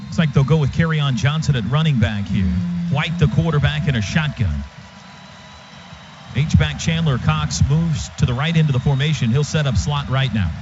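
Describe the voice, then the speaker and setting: High-voiced American man calling football on radio. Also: moderate pace, over loud music. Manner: clipped, vivid phrases that follow each play. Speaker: play-by-play radio announcer. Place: stadium radio booth. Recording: dull, noisy live radio broadcast.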